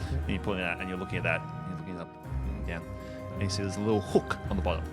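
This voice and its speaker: A man with a lowish voice runs a tabletop game. He narrates casually and improvises as he goes. Music is clearly heard behind him. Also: level tone, racing. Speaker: game master.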